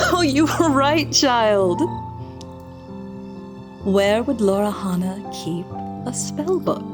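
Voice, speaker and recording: A woman's voice: a little high, animated, slow pace, music in the background. An actress playing a fond, wise elder woman in a fantasy audio drama. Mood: tearful, loving.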